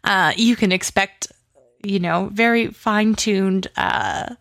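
A woman's voice: medium pitch, slow pace, gravelly, fairly bright tone, dry recording. A woman speaking casually as a guest in a podcast interview. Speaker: interview guest, audio drama creator. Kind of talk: casual chat.

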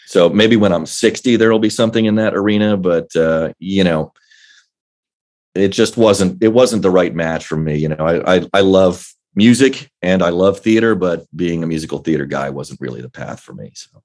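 Deep bass-voiced man chatting. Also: normal speed, a little mellow. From Northern Virginia. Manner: rambling, many fillers and restarts. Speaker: guest actor. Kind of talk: casual interview chat.

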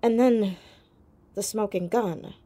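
An actress in a naturalistic audio drama playing a clever, persistent young amateur sleuth who is earnest, hesitant and probing. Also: female voice, medium pitch, slow pace, mellow. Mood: cautious, earnest.

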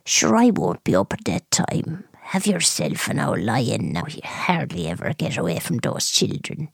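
Woman acting elderly dying aunt, radio drama, creaky, husky, unhurried, wry, blunt. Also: rumbling low, wildly swooping pitch, dry recording, voice pushed down, exaggerated voice. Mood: fond, gruff. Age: 80s. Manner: dry, blunt, fond.

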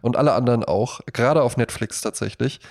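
A man's voice: medium pitch, a little fast, creaky, a little mellow. Talkative man chatting on a podcast. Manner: talkative, emphatic, jokey. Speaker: podcast co-host. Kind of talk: casual podcast chat.

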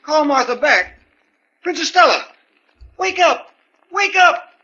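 A man playing a nervous, excitable bereaved widower with an agitated, beseeching delivery in 1940s radio style. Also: very high-pitched, slow pace, exaggerated voice. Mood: desperate. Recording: dull old 1940s radio recording.

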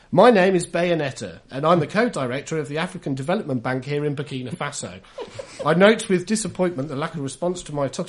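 A British man hosts a jokey gaming podcast. His voice sits a little high for a man, and he speaks in a wordy, mock-formal style with his tongue in his cheek. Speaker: podcast host. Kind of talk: jokey scripted gaming podcast, reading letters.

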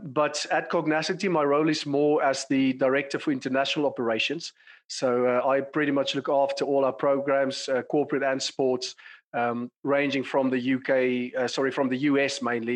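A man in his forties with a South African accent, a clinical psychologist talking through his career as a podcast guest, thoughtful and full of small fillers. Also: medium pitch. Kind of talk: conversational interview.

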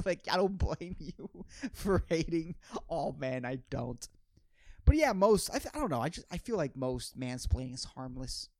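A man's voice: mid-range voice, vocal fry, darkish tone, no echo, studio quality. A man hosting a podcast, talking in a casual, rambling, slangy and profane comedic monologue, often repeating phrases for emphasis. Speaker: podcast host.

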